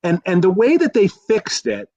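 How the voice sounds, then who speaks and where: Slightly high-voiced man, halting and rambling. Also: wildly swooping pitch, mellow, no echo, lo-fi sound. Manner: halting, rambling, self-correcting, hedging. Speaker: guest expert, college professor. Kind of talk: casual film discussion.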